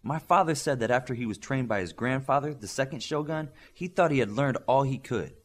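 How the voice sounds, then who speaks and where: A man's voice: mid-range voice, fairly quick, voice pushed up, natural voice. A man reading an audiobook as a determined, composed young female martial-arts superhero. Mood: resolute. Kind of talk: audiobook character dialogue.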